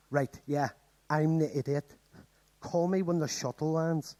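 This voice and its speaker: A man's voice: on the high side, fairly even intonation, slow pace, mellow. An actor playing an exasperated, sarcastic young man in a radio comedy play. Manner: flustered, sarcastic.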